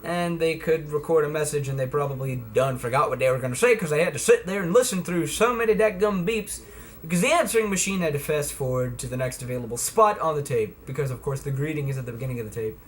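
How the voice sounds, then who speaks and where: A man's voice: on the high side, animated, fast, a little hiss or hum. A man giving a casual, folksy and playful product demonstration in a homemade video. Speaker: vlogger presenting vintage electronics.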